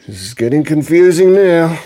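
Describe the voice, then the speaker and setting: A man's voice: fairly high pitch, expressive, moderate pace, mellow. An actor playing a worried, gullible older grandfather in a comic audio drama, earnest, blunt and a little hesitant.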